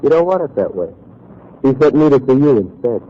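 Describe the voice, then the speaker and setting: A man's voice: mid-range voice, sing-song, fairly quick. An actor playing a menacing, taunting gangster henchman with uneducated tough-guy talk in a 1940s radio crime drama. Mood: taunting menace. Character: menacing, taunting male gangster henchman, slow-witted thug. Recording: muffled, hissy 1940s radio recording.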